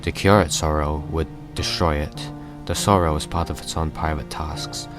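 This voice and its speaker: A man voicing an unseen cosmic being, reciting a poem in a solemn, measured, deep voice. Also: steady tone. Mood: solemn, knowing. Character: unseen godlike male being narrating a poem, solemn and knowing. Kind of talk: scripted poetic narration. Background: music.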